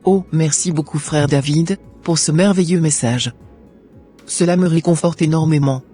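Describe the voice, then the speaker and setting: Man voicing a husky young woman. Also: on the high side, moderate pace, dark tone, faint music behind. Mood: grateful. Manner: earnest, plaintive, then grateful and pious.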